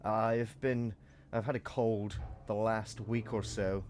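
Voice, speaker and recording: A man with a croaky voice from a cold, chatting through gameplay commentary with joking asides. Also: lowish voice, fairly even intonation, measured pace, darkish tone. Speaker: let's play host.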